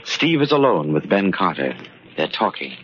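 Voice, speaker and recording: A man narrating a vintage radio mystery in a dramatic, portentous style with deliberate phrasing. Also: low-pitched, sing-song, slight background noise. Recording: muffled old radio recording. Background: dramatic music.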